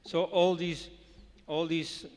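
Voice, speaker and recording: A man whose first language is Dutch, speaking as a guest scholar answering audience questions, measured and peppered with "you know" and brushing off weak ideas. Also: fairly high pitch, little pitch movement, in a large hall. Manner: measured, frequent "you know", dismissive asides. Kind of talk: lecture Q&A.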